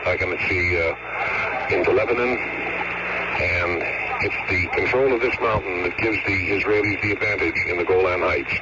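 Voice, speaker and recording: A man reporting on location as a news correspondent, slow and descriptive with some hesitations. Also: deep voice, steady tone, dull audio. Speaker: field correspondent. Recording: noisy outdoor field report on old radio.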